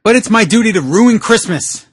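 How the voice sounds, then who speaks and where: A man voicing a gloomy, Christmas-hating Grinch with husky, drawn-out melodrama in a comic story reading. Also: sits high, animated, dry recording, studio quality.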